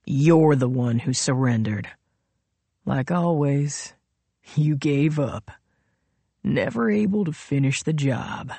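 A narrator voicing a gloating, cruel male villain in an audiobook thriller, with mocking, drawn-out taunts. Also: mid-range voice, warm tone, dry recording.

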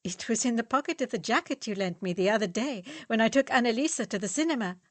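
A woman voicing a lanky, brash young male student who teases his friends and talks loudly. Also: on the high side, expressive, fast talker, no room sound, natural voice. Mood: teasing. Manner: brash, teasing.

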